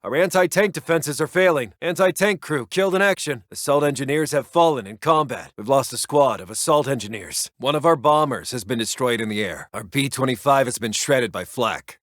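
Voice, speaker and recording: An actor playing a brisk, grave American army intelligence officer in a war strategy game, reporting in clipped, soldierly phrases. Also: male voice, mid-range voice, a bit bright, no echo, studio quality. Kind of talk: scripted military radio-report game voice lines.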